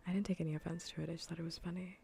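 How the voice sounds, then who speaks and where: Casting: female ASMR stream host, whispery and low, even intonation, dryly funny casual rambling, noticeable background noise. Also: rapid-fire. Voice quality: soft, whispery, breathy. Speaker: livestream host.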